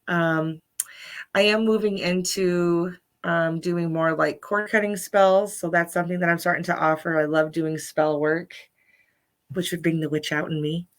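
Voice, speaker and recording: A woman's voice: on the low side, fairly even intonation, a little slow. A woman guest, a Reiki healer, chatting casually about her services with lots of ums. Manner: bubbly, many ums and restarts. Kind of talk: casual interview chat. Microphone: livestream video call.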